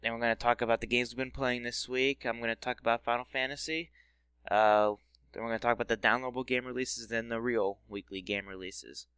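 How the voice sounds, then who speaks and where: A man's voice: mid-range voice, fairly even intonation, brisk, a bit bright, clean tone, no echo. Teasing podcast host man, dry sound. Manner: teasing, joking. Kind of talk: casual chat.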